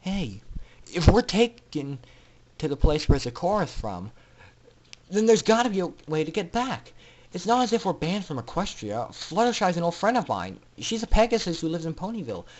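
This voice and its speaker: A man's voice: sits high, big pitch swings, a little mellow, rough recording. A man voicing an earnest, determined young female pegasus pony with an emotional delivery, in a storybook reading. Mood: hopeful, earnest. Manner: tearful, earnest, halting under emotion.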